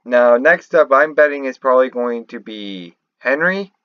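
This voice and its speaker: A man giving unscripted commentary on game lore in a halting, rambling way, often restarting mid-thought. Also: medium pitch, animated, smooth, no echo, dull audio. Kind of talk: unscripted theorizing commentary over a screen recording.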